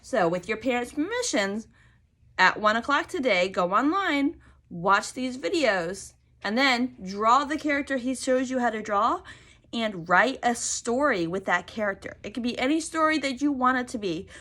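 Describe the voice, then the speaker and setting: Woman teacher, read-aloud for little kids: bright, higher-pitched, unhurried, carefully enunciated. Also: animated. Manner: deliberate enunciation, addressing small children with prompts and questions. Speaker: teacher reading to young children. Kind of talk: expressive children's picture book read-aloud.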